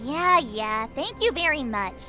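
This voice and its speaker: A sassy young girl adventurer with a very high, swooping voice and drawn-out pacing. She is excitable and grumbly, in anime game style, over clear music. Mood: sarcastic. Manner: expressive, sassy, informal. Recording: music behind.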